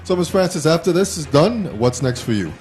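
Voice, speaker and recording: A man's voice: fairly high pitch, big pitch swings, a little fast, a little mellow, soft background music. A man hosting a casual, informal talk show interview in a self-deprecating way.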